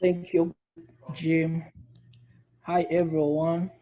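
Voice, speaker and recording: A man in his thirties from Uganda, speaking slowly and deliberately as a panelist on a video call. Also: fairly high pitch, flat delivery, dark tone, muffled recording. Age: 30s. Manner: slow, deliberate speech.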